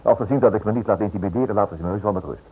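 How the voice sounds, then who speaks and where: A man's voice: on the low side, racing, slightly rough. An actor playing a stubborn, protective husband and father in a radio drama, urgent and dismissive. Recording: muffled old radio play recording.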